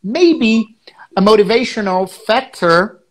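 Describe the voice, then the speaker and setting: A man from Brazil, a native Portuguese speaker, co-hosting a teaching podcast and explaining ideas with many pauses and fillers. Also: high-pitched, animated. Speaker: podcast co-host, English teacher. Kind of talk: casual educational chat.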